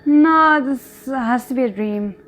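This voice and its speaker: Higher-pitched woman giving casual reaction commentary. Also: slow pace, a bit bright, soft background music. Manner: exclaims and muses aloud in short remarks. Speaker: reaction video host.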